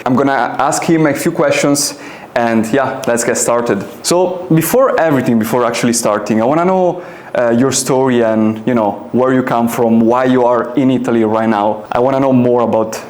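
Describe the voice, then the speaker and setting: A man hosting a podcast, opening and asking easygoing questions in a halting, casual way. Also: medium pitch, darkish tone, echoey room. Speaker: podcast host, interviewer.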